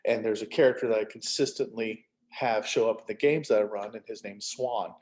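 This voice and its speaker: A man's voice: fairly low pitch, fairly quick, darkish tone. A middle-aged man who is an author, chatting casually and telling stories with acted-out asides. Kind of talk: casual chat.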